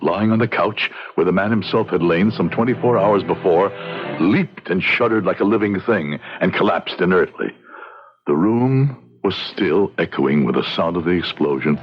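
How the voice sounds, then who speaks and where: A frontier judge in a radio mystery, earnest and a little uneasy. His low voice is measured and literary, on a slightly dull vintage-style recording with faint music. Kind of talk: radio mystery drama acting and narration.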